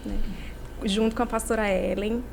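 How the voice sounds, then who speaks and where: Woman pastor, gravelly fry, steady, faint hiss. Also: mid-range voice, normal speed. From Imperatriz, Brazil. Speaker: pastor and guest. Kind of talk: personal storytelling.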